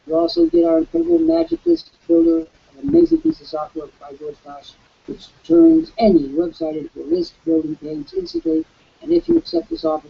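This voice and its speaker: A man hosting a live online webcast, with a croaky voice, giving an emphatic, preacherly sales pitch. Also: dull audio. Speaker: webcast host.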